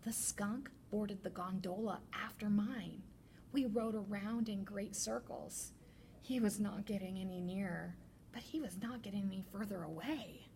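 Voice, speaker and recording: A woman reading a children's picture book aloud as a teacher librarian, with deliberate, expressive storytelling. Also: mid-range voice, vocal fry, slight background noise.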